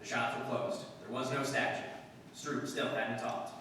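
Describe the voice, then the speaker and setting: A man's voice: mid-range voice, moderate pace, lightly acted. A man playing a terse, no-nonsense police detective who narrates his cases in a clipped 1950s radio drama style. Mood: deadpan. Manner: terse, deadpan, matter-of-fact. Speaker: amateur radio-play actor. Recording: echoey hall from far off, faint noise.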